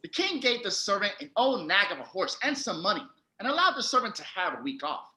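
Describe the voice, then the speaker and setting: A wry fairytale narrator, played by a performer, tells the story in a bright, lively voice. The telling is patient and storybook-like, with noticeable room echo. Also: medium pitch, brisk. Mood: storytelling. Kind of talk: storybook narration in comic audio drama.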